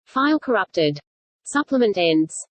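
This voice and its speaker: A woman's voice: medium pitch, big pitch swings, measured pace, noisy background. A woman voicing an impersonal ship computer in a sci-fi audio drama, making clipped system announcements.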